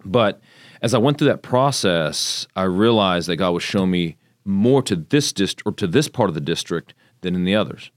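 Texan man, very low voice, rambling candidate in a dry room. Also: lively intonation, normal speed, dry recording. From Texas, United States. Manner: chatty, rambling, faith-focused storytelling. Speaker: congressional candidate, guest. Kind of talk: casual interview talk.

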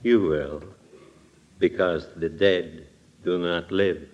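An actor playing a soft-spoken, menacing killer in an old-time radio drama, hushed and formally polite. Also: male voice, low voice, fairly even intonation. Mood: quietly menacing. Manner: slow, formal, eerily polite. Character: soft-spoken menacing strangler who claims he is dead. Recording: muffled vintage radio recording.

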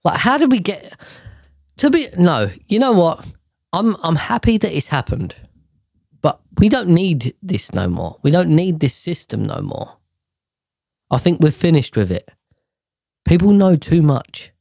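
A man's voice: on the high side, sing-song, a little slow, gravelly, pauses often, darkish tone, no echo, clean studio sound. A man ranting casually to camera, profane and exasperated, rambling with sighs and restarts.

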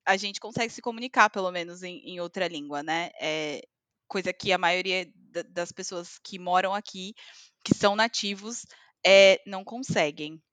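A Brazilian woman with a Brazilian Portuguese accent, chatting casually with hesitant pauses and fillers. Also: on the low side, a bit bright, dry recording. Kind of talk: casual chat. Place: living in Scotland.

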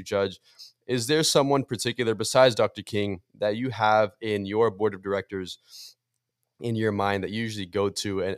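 A man's voice: on the low side, clean tone, no room sound, clean studio sound. A young man from Miami, whose first language is Portuguese, hosting a podcast in a chatty, enthusiastic way with many fillers. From Miami, USA. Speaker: podcast host. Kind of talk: casual interview conversation.

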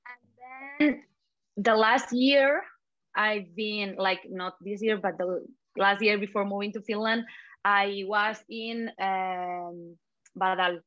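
A woman's voice: mid-range voice, fairly even intonation, slow, fairly bright tone, no room sound, dull audio. A woman in her twenties from Spain, speaking English with a Spanish accent in casual conversation. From Spain (Catalonia and Andalusia). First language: Spanish and Catalan. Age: 20s.

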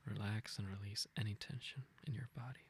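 A man leading a guided meditation, speaking gently and informally in an unhurried, intimate way. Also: fairly low pitch, fairly even intonation, a little hiss or hum. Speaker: meditation guide, podcast host.

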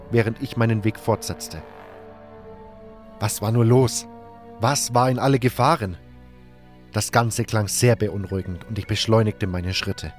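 A man's voice: mid-range voice, a little mellow. A man reading scripted first-person audiobook narration as a dramatic storyteller.

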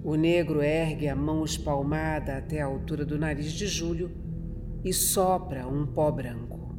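A woman's voice: low-pitched, fairly even intonation, moderate pace, music in the background. A woman narrator reading a scripted horror radio drama.

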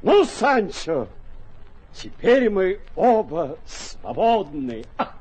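A husky, exalted old knight. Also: male voice, high-pitched, very animated, drawn-out words, darkish tone, heavily acted, low-quality recording. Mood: solemn, fervent. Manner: exalted, declamatory, repeats words with feeling. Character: idealistic elderly knight errant, noble and fervent. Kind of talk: classic radio drama acting.

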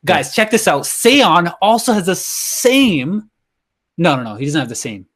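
A man from India, co-hosting a casual podcast and talking in an animated, slangy way. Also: sits high, unhurried. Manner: animated, slangy, talks over his partner. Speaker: podcast co-host.

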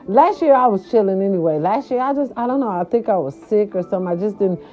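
A grandmother from California talking casually to her viewers, animated and chatty, with salty slang and lots of "right, right" fillers. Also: medium pitch, quick pace, soft background music. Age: older. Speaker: vlogger. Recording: dull lo-fi sound.

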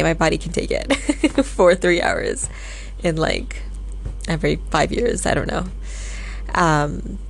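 Young canadian woman rambling through a travel story on her podcast, bit low voiced, laughs now and then. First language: Tamil. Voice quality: chatty, rambling with restarts and laughs. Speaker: podcast host. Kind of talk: casual storytelling monologue.

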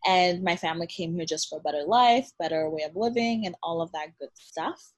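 A young woman from the Dominican Republic talking in a relaxed way as a podcast guest, laughing often and using many fillers. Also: lowish voice, brisk. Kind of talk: casual interview chat.

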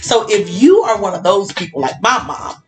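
A woman's voice: medium pitch, big pitch swings, normal speed. A woman co-hosting a casual talk show, chatty and laughing often, calling people honey. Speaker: podcast co-host. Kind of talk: casual chat. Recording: music playing underneath. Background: music.

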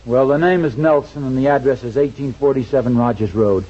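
An actor playing a mild, flustered suburban husband with a hesitant manner in a 1940s radio comedy. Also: male voice, mid-range voice, fairly quick, dark tone, close to an everyday voice. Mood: pressing, anxious. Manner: hesitant, stammering. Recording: dull, noisy old radio recording.

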